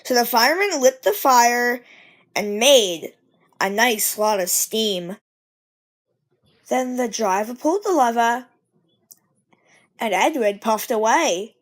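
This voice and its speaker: A woman reads a children's storybook aloud. Her voice is high and very animated in pitch, and she takes her time, telling it expressively in a measured way. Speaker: storybook reader. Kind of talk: storybook narration read aloud.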